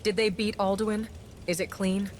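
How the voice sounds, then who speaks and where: A woman's voice: mid-range voice, little pitch movement, moderate pace, close to an everyday voice. Woman doing impatient game sidekick girl asking questions, noisy in the background. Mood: questioning. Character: impatient, questioning female adventuring companion. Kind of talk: fantasy game character dialogue. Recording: clear background noise.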